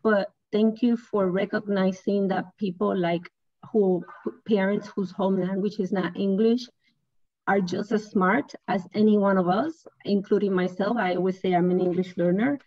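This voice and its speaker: A woman's voice: medium pitch, fairly even intonation, moderate pace, no echo. An earnest woman whose first language is Spanish, speaking on a video call and repeating herself for emphasis. Her audio is dull and dry. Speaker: parent engagement advocate.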